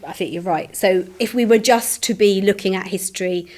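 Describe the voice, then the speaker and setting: A woman's voice: medium pitch, a little fast, a little mellow. A woman teacher trainer answering as a podcast guest, earnest and thinking aloud with frequent restarts and light laughs.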